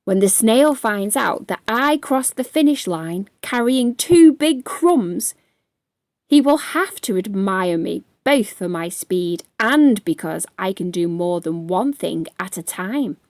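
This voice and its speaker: A woman's voice: medium pitch, lively intonation, takes it slowly, no echo. A woman voicing a boastful, impatient young male ant who brags in a storybook reading. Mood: smug. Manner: bragging, scornful.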